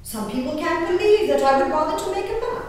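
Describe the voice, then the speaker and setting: A woman's voice: very high voice, lively intonation. Actress as haughty genteel colonial lady, stage diary monologue, swooping intonation, prim formal diction, very echoey distant recording. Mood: fond, contented. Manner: prim, emphatic, savoring pauses, old-fashioned formal diction. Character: genteel, spirited, haughty English colonial gentlewoman diarist. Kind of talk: theatrical dramatic diary reading on stage. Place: indoor hall, live performance recorded from a distance. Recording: roomy echoing hall, distant mic, faint noise.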